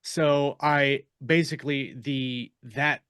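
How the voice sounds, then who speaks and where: A man talking casually on a podcast, explaining in a halting way and often rephrasing as he goes. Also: medium pitch, fairly even intonation, a bit bright, smooth, no echo, studio quality. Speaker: podcast panelist and fiction writer.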